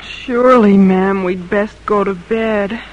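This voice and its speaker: An actress playing a dutiful, timid teenage colonial daughter in an old-time radio drama. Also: female voice, medium pitch, fairly even intonation, slow pace, close to an everyday voice. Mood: anxious, deferential. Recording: dull, muffled old radio recording.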